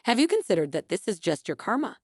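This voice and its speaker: A woman's voice: mid-range voice, very animated, fast, a little mellow, breathy edge, dry recording, studio quality. A woman voicing a smug, vengeful wife in a story, mock-innocent and taunting.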